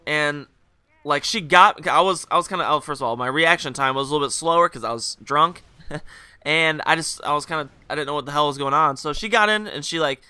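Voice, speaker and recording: A young man hosting a gaming video, telling a rambling, joking story over gameplay while hungover, his voice a little rougher and lower than usual. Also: brisk, sharp tone, no room sound. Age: young adult. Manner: rambling, joking, full of fillers and asides.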